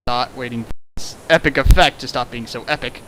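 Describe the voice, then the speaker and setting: A man's voice: medium pitch, a little fast, sharp tone, slight background noise, rough recording. A man hosting a streamed fan fiction reading, casual, playful and mocking as he reads and riffs. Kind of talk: casual riffing and dramatic reading.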